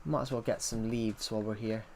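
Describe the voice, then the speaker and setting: A man's voice: fairly low pitch, fairly even intonation, brisk, a little mellow, slight background noise. A man live streaming from his PC, chatting casually and rambling as he answers chat messages aloud, with a thick accent that leans hard on the R. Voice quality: thick accent, strong R sounds. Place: at home at a PC.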